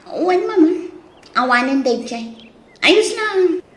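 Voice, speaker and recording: Actress as high-voiced, headstrong young wife. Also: female voice, animated, takes it slowly, full character voice. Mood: dutiful. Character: young, headstrong student wife, dutiful yet insistent. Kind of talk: Ilocano audio soap drama acting. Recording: roomy, echoing studio sound.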